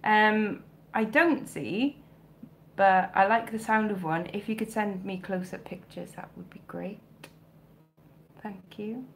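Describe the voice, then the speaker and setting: A chatty, self-mocking woman with a mild South Welsh accent talks straight into a close webcam in her bedroom. Her pitch sits in an ordinary middle range. Faint room noise hums underneath. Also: slow pace, creaky. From South Wales. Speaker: vintage clothing reseller, livestream host. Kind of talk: casual live stream chat reading comments. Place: home bedroom with shelves, indoors. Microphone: webcam or phone close up.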